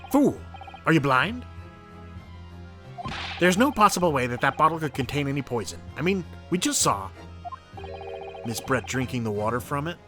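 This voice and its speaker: A man's voice: medium pitch, very animated, normal speed, pauses often, a bit bright. A man playing an indignant, pompous prosecutor in a video game dub, scornful in manner. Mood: outraged. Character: indignant, pompous male courtroom prosecutor, easily outraged. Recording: music under the voice.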